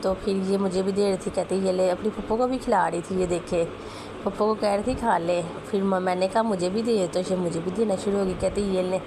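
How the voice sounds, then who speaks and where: A young woman with a sore, hoarse throat, chatting fondly as a family vlogger about her little daughter, often clearing her throat. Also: medium pitch, fairly even intonation, fairly quick. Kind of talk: casual voice-over narration. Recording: voice with clear background noise.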